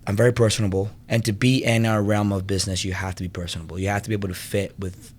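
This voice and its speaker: A man's voice: fairly low pitch, little pitch movement, racing, slight background noise. A man in his thirties from Venezuela, a podcast guest telling his own story in a casual, conversational way, often saying "you know". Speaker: podcast guest, fitness business owner and trainer.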